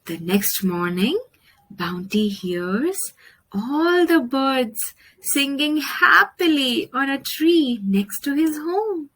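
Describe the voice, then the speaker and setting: Indian lady reading a picture book for kids, really lively up and down pitch, takes her time, dramatic pauses. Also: poor audio. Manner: expressive, deliberate delivery with dramatic pauses. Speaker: storyteller. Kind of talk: children's picture book read-aloud.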